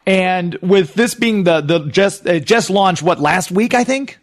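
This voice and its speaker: A man's voice: high voice, expressive, unhurried. A man hosting a radio interview, talking eagerly and enthusiastically like a fan. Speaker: radio show host and interviewer.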